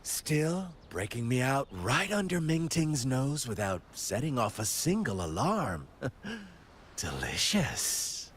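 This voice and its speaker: A man's voice: medium pitch, big pitch swings, slow pace, slight background noise. A man playing a sly, nihilistic villain in a video game cutscene, theatrical and mocking, savouring his words over faint ambience. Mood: amused, teasing. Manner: drawling, savouring, teasing.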